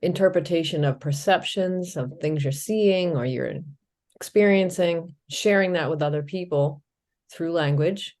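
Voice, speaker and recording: A woman's voice: fairly low pitch, a little slow, a little mellow. A middle-aged woman co-hosting a casual podcast, talking thoughtfully and listing ideas with many fillers. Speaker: podcast co-host.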